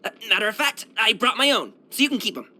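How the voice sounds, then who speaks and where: A man's voice: high voice, very animated, fast talker, sharp tone, full character voice. A man voicing a brash, cheerful young martial arts dancer and guard in a video game, chatting casually in a slangy, boyish, self-assured way. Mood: friendly, lively. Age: young adult. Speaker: video game character voice actor. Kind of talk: casual, conversational game voice lines.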